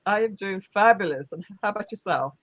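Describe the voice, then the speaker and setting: A woman's voice: mid-range voice, expressive, brisk, no echo. Bubbly lady hosting a live chat, voice jumps around a lot, dry muffled sound. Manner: bubbly, effusive, welcoming. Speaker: live show host. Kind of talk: casual live-stream hosting.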